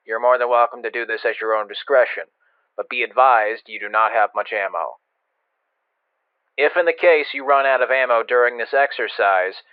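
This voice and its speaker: A man's voice: medium pitch, smooth, no echo, phone-quality sound. A man playing a blunt military instructor, heard through a radio filter in a video game. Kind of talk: video game cutscene voice acting. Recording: thin, dry radio-band sound.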